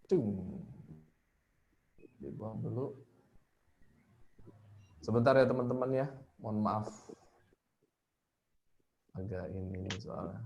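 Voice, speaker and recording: A man's voice: mid-range voice, creaky, dark tone, dull audio, a little hiss or hum. A man giving a casual online talk as a guest storyteller, informal and hesitant as he searches for words. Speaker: guest storyteller sharing tips. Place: online live video call.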